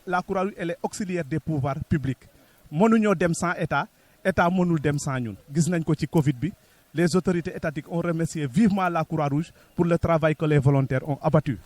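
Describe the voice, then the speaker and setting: Senegalese man, slightly high-pitched voice. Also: a little mellow. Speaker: Red Cross local secretary-general, interviewee. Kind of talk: interview answers mixing Wolof and French.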